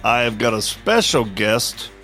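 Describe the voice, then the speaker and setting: A man's voice: mid-range voice, big pitch swings, measured pace, music in the background. A man hosting a podcast in a studio, chatting casually with a guest in a joking, blunt and direct way. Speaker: podcast host. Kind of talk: casual interview chat.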